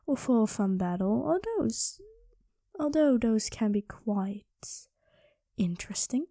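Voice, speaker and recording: Woman voicing a soft, mellow, whispery dragon queen. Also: on the high side, animated, takes it slowly, no room sound, clean studio sound, heavily acted. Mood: tender, possessive. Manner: teasing, coy, intimate, often dropping into whispers. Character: playful possessive dragon queen, affectionate with captive royal. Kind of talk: improvised ASMR roleplay.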